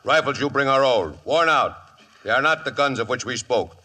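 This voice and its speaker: Stern chief, forceful and bright. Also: male voice, medium pitch, animated, normal speed. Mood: stern, accusing. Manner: stilted formal declarations. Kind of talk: 1950s radio western acting.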